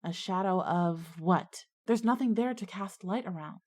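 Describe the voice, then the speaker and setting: A woman's voice: fairly low pitch, unhurried, a little mellow. A woman playing an anxious schoolgirl who narrates her own ghost story in an audio drama. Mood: uneasy insistence. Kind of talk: scripted audio drama, first-person narration and dialogue.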